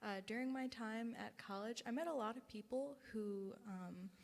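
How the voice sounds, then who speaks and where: A soft-spoken young woman speaking to the congregation into a handheld mic. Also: on the high side, normal speed, creaky. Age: young adult. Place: large synagogue hall. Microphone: handheld microphone. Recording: long hall reverb.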